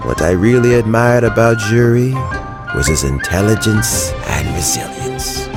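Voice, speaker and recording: A man playing a weary, principled elderly statesman in a video game, with a gravelly, measured and reflective delivery. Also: lowish voice, expressive, over music.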